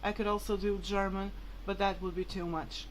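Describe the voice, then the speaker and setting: A woman's voice: mid-range voice, little pitch movement, moderate pace, slight background noise, poor audio. A woman whose mother tongue is Portuguese, talking casually and hesitantly to viewers in a rambling, informal vlog. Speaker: vlogger.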